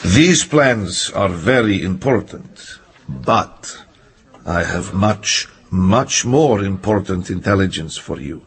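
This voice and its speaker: An actor playing a grave, earnest middle-aged Soviet intelligence colonel, in a radio drama. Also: male voice, low voice, expressive, slow, exaggerated voice. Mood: grave, urgent.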